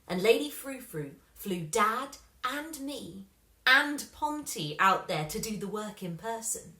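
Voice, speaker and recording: A woman reading a children's story aloud with expressive, dramatic emphasis. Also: mid-range voice, slow, roomy sound. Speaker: storybook reader.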